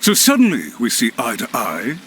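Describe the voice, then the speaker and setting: A man's voice: medium pitch, wildly swooping pitch, moderate pace, a bit bright, a little hiss or hum. A man playing a scheming, pompous government official in a video game, haughty, theatrical and formal. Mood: indignant.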